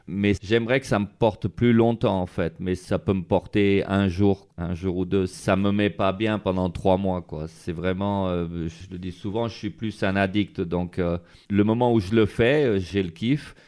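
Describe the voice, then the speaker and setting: A man's voice: low-pitched, creaky, darkish tone. A man being interviewed as a street artist, talking casually and rambling with many fillers. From France.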